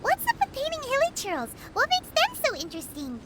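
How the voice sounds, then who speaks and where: A woman voicing a small, excitable female fairy companion in a video game, squeaky and childlike, full of exclamations. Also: fairly quick, heavy background noise, heavily acted. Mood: curious, amazed. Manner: exclamatory, speaks of self in third person.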